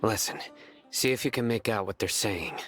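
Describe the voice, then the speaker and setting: Man acting a stern, low-voiced enforcer. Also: normal speed, a bit bright, soft background music, natural voice. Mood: watchful, hushed. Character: stern, menacing, terse male enforcer. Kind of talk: video game voice acting.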